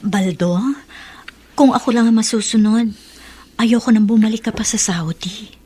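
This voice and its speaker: Actress as a mellow, concerned wife. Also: female voice, on the high side, normal speed, soft background music, lightly acted. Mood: tender, concerned. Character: soft-spoken concerned wife of overseas worker. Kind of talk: Tagalog radio drama acting.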